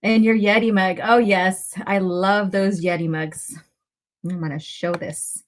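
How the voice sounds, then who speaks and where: A woman with a slightly raspy voice hosting a casual live chat, talking to viewers in a chatty way with pauses and asides. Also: medium pitch, steady tone. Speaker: livestream host.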